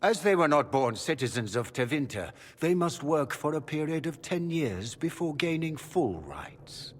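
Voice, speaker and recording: An actor playing a suave, calculating male magister with a husky voice and courtly, theatrical manners. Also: fairly high pitch, sing-song, normal speed, a little hiss or hum. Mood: smooth, calculating. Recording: long hall reverb.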